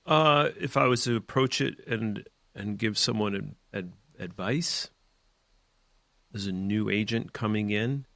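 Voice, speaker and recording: A man's voice: lowish voice, creaky, fairly bright tone, no echo. A man hosting a real estate podcast, giving emphatic, opinionated advice in a rambling, casual way with side notes. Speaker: real estate team leader and podcast host.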